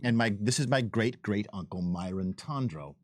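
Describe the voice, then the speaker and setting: A man, a game developer, telling stories in an emphatic, animated way in a casual unscripted livestream chat. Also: lowish voice, normal speed, no room sound, clean studio sound. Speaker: game developer giving commentary.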